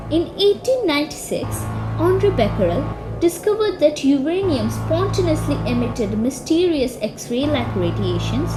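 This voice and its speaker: A woman reading scripted biographical narration for a puppet show in an expressive storytelling way. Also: high-pitched, low-quality recording.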